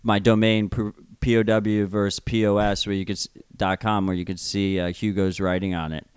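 Blunt New York man, smooth low voice. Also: little pitch movement, moderate pace, dry recording. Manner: blunt, swears casually, trails off and restarts. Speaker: podcast co-host. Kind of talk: casual podcast conversation.

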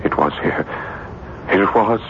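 An actor playing a tormented gentleman in a 1970s radio drama, pleading and agitated, insistent that an evil spirit is after him. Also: lowish voice, slow, gravelly, full character voice. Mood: shaken insistence. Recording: muffled, very noisy 1970s radio recording.

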